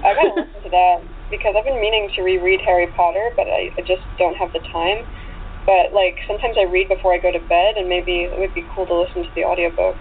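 A woman's voice: lowish voice, steady tone, fast talker. A woman guest chatting casually in an informal, effusive way. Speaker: guest, actress and audiobook voice performer. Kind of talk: casual chat. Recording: tinny phone-line sound with faint noise.

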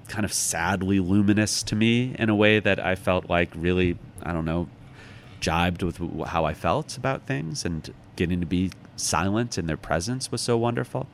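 An American man with a low-pitched voice speaks as a guest in conversation. He is reflective and casual, musing as he goes. There is clear background noise on the recording. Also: moderate pace. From United States, Chicago. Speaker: interview guest, author.

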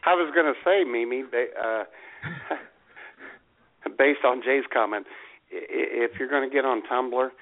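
A man co-hosting a radio talk show, making halting, joking asides. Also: mid-range voice. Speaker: co-host. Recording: narrow phone-like sound.

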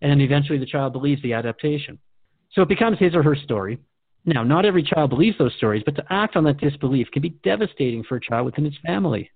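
A man's voice: fairly high pitch, lively intonation, fast, vocal fry, slightly rough, dry recording. Male radio host, thin phone-like sound. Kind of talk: scripted conversational interview in a radio drama.

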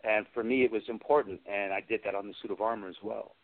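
A man's voice: on the low side, steady tone, fast. A man chatting as an interview guest, telling enthusiastic, rambling stories about his own work as an effects artist and performer. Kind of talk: conversational anecdote telling in an interview. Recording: tinny phone-like radio call.

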